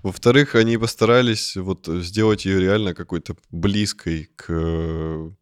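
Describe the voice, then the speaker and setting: A man co-hosting a podcast, chatting casually in a halting way, with fillers and short agreements. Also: low voice, steady tone, clean tone, no echo, studio quality. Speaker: podcast co-host. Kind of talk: casual chat.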